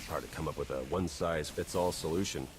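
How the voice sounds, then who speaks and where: A man's voice: rumbling low, quick pace, background noise. A man playing a pragmatic, weary secret agent in a video game, with a dry, casual delivery. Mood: wry, focused. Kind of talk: naturalistic video game acting.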